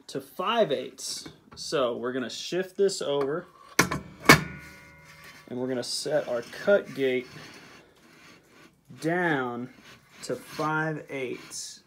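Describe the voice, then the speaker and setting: A man's voice: on the high side, slight background noise. A man giving step-by-step woodworking instructions in his home workshop, talking plainly, repeating measurements and checking in with "okay?". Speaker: beekeeping video host. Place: home workshop at a table saw.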